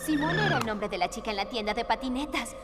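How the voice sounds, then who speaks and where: Woman as a high-voiced, bossy young girl sleuth. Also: lively intonation, quick pace, heavy background noise, natural voice. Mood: worried. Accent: Latin American Spanish. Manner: earnest, assertive. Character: brave, bossy, sensible teenage girl investigator. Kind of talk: dubbed cartoon acting.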